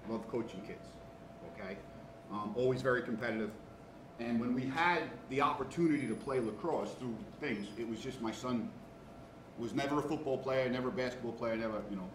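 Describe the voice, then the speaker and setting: A man in his fifties with a Queens, New York accent, giving a casual talk to students as a guest engineer and checking in often with the room. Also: mid-range voice. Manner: conversational, keeps checking in with "all right?" and "okay?". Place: large classroom, indoors. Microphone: far room camera. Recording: echoey classroom from far off, noisy.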